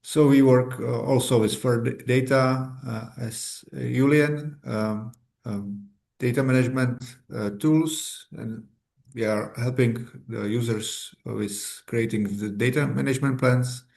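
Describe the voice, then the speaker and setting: Slow, gravelly man. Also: mid-range voice, fairly even intonation, a little mellow. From Czech Republic. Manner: hesitant, many fillers. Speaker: library open science staff. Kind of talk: online meeting self-introduction. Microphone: video call.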